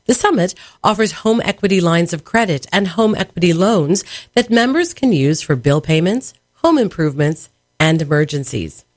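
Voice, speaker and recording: A woman's voice: on the low side, animated, normal speed, no room sound. A woman reading a scripted, polished sponsor message for a radio station. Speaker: underwriting announcer.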